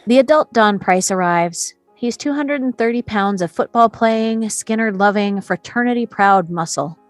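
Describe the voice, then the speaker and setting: A woman's voice: medium pitch, moderate pace, dry recording, faint music behind. A woman reading scripted stage directions as the narrator of a screenplay table read.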